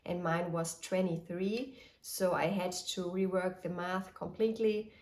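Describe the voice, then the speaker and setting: A woman in her thirties hosts a knitting show at home, sharing her finished projects in a cheerful, detailed way with small pauses. Her voice sits on the low side and is a bit rough as she gets over a cold. The room gives her voice a noticeable echo. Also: fairly even intonation, a little mellow. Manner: cheerful, detailed, slightly hesitant. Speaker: knitting podcast host. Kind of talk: casual show-and-tell. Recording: echoey room.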